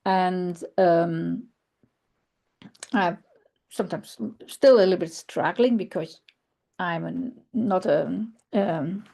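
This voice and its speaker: A woman with a German accent, a non-native English speaker, giving an online conference presentation in a halting, thoughtful way, with many fillers and restarts. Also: lowish voice, gravelly, mellow. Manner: thoughtful, searching for words. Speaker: researcher presenting.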